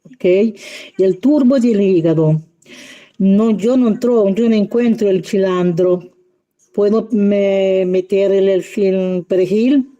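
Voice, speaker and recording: Una mujer de lengua materna italiana que habla español con acento italiano, buscando las palabras en una clase en línea. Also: female voice, medium pitch, dark tone, highs cut off. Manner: slow, searching for words. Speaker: student asking questions. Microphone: video call.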